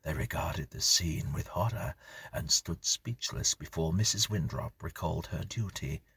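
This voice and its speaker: A man reading a scripted horror story as narrator, in a measured, grave storytelling manner. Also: extremely low pitch, vocal fry, sharp tone, husky, no room sound.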